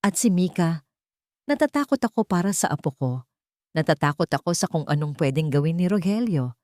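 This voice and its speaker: A woman's voice: fairly low pitch, lively intonation, fairly quick, darkish tone, no room sound, clean studio sound, lightly acted. Woman acting a distraught, pleading grandmother. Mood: tearful, afraid. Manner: pleading, tearful, anxious. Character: distraught, fearful older grandmother confiding in a friend.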